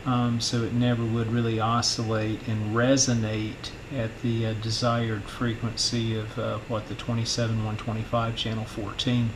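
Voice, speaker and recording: Man with an even tone, noisy recording. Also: fairly low pitch. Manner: halting, unhurried, thinking aloud. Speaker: host of a radio repair channel. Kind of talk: informal hands-on repair walkthrough. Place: indoor electronics workbench.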